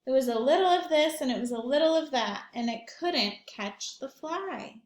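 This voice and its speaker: A woman's voice: high voice, animated, normal speed, reverberant. A woman reading a picture book aloud to young children as a teacher would, expressively, with questions and asides to her listeners.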